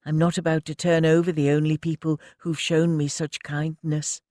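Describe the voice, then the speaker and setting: A man's voice: fairly high pitch, little pitch movement, normal speed, darkish tone, dry recording, studio quality, close to an everyday voice. A man voicing a troubled young woman who talks shakily but emphatically. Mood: resolute. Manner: emphatic, shaky.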